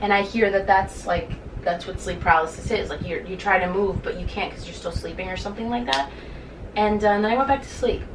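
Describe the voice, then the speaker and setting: A woman's voice: mid-range voice, fast talker. A young woman co-hosting a casual podcast, chatty and giggly, with lots of "like". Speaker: podcast co-host. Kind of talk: casual chat. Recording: echoey room, mic at a distance, faint hiss.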